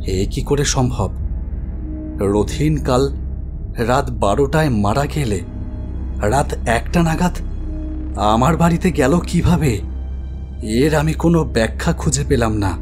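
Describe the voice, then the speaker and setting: An actor playing an anxious idol-maker who recounts a horror story in a dramatic, expressive first-person narration. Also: male voice, medium pitch, slow pace, long pauses. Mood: haunted. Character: anxious male idol-maker recounting his ghostly encounter. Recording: studio voice over music bed.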